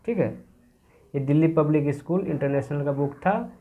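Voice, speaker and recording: Man, schoolteacher, fairly high voice, asks and answers his own questions, repeats key words, mixes in english words. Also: moderate pace, phone-quality sound. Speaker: teacher. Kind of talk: explaining a grammar workbook to children.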